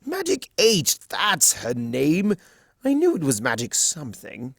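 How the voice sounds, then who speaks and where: A man's voice: a little high, wildly swooping pitch, a little slow, creaky, a bit bright, dry recording, clean studio sound, pitched above the performer's own voice, heavily acted. A man voicing a mischievous, smug male chaos creature from a cartoon fan story, theatrical and mocking, drawing out his words. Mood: smug teasing.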